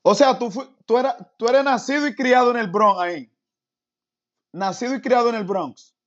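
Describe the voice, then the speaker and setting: High-voiced man on a lo-fi video call. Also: a bit bright. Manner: halting, restarts his questions. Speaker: interviewer. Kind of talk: casual interview over a video call. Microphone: phone on a video call.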